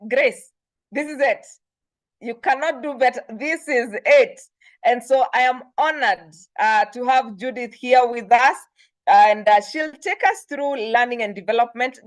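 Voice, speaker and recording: Female host, Kenyan accent, high voice, effusive promotional delivery, close dry sound. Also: no room sound. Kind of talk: enthusiastic event announcements and guest introduction.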